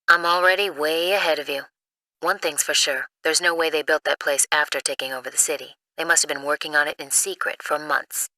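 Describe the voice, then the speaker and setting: An actress playing an earnest, businesslike intelligence analyst in a video game, crisp and matter-of-fact. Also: female voice, low voice, fairly even intonation, a little fast, pushed and bright, no room sound, poor audio. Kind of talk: video game cutscene acting.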